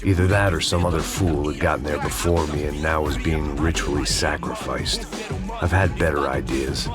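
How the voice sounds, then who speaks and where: A weary, cynical ex-cop. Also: male voice, very deep voice, steady tone, moderate pace. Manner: cynical, dry, world-weary. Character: jaded, cynical middle-aged male ex-cop. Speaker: narrator and lead character. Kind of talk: hardboiled video game narration and dialogue. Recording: game audio with music underneath.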